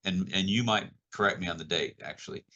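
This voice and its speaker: Casting: male podcast host, 60s, slightly low pitch with a bright edge, halting conversational storyteller. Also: lo-fi sound.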